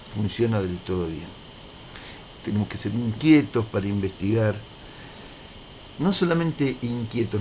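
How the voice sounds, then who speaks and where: A man lecturing in a deliberate, didactic way, repeating key phrases for emphasis as he presents prepared material. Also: mid-range voice, darkish tone, slight background noise, poor audio. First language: Spanish. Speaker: university lecturer.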